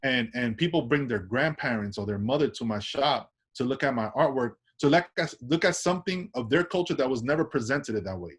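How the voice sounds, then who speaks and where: A man's voice: medium pitch, lively intonation, brisk, hardly any pauses, slightly rough. Laid-back New York man talking freely. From Washington Heights, New York. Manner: loose, tag questions, many restarts. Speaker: artist guest. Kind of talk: casual interview chat.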